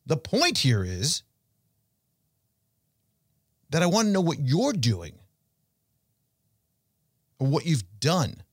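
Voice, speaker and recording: A man hosting a financial talk show, speaking conversationally and emphatically to listeners, with rhetorical questions and deliberate pauses. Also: mid-range voice, no room sound, studio quality. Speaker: financial show host and portfolio manager. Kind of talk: conversational investment commentary addressed to listeners.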